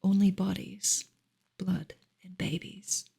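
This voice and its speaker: Soft, creaky ASMR woman reading. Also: medium pitch, little pitch movement, takes it slowly. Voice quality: soft, breathy, partly whispered. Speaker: ASMR reader and channel host.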